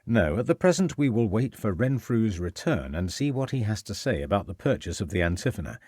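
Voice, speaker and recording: A man's voice: fairly low pitch, sing-song, a little fast, darkish tone, no echo, clean studio sound. A man voicing an earnest, composed male police inspector who speaks formally.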